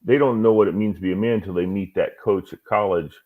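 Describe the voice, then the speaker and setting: A man's voice: lowish voice, fast. A man hosting a talk show, asking questions in a casual chat and agreeing with his guest as he builds on the answers. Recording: tinny phone-like line.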